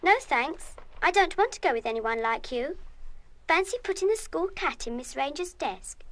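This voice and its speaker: A woman's voice: sits high, expressive, moderate pace, fairly bright tone. An actress playing a bossy, scornful young British schoolgirl in a radio play, with a snippy manner. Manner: snippy, taunting. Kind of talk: full-cast radio play acting.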